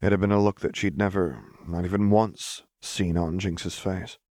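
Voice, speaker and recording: Low voiced guy narrating a fiction audiobook, dramatic scripted read, very dry sound. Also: fairly even intonation, moderate pace, a little mellow, no echo. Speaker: audiobook reader.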